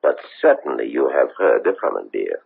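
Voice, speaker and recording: An actor playing an insistent middle-aged investigator, formal and halting, in a radio mystery. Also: male voice, extremely low pitch, big pitch swings, full character voice. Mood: coolly probing. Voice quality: gravelly, husky. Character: insistent middle-aged European male investigator, coolly courteous and menacing. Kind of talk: 1970s radio mystery acting. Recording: tinny, band-limited old radio broadcast.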